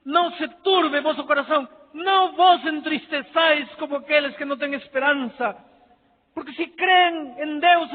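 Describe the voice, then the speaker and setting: A pastor voicing Jesus, solemn and comforting. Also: male voice, very high-pitched, slightly rough, voice pushed up, full character voice. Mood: exalted. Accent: Peruvian Spanish. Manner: solemn, rising to a shout. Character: Jesus, a man calmly comforting his followers. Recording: tinny lo-fi sound in a reverberant hall.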